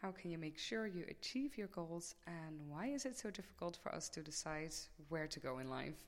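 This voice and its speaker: A woman's voice: deep voice, animated, normal speed. A woman hosting a conversational podcast interview as a student life coach. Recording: reverberant hall-like room sound.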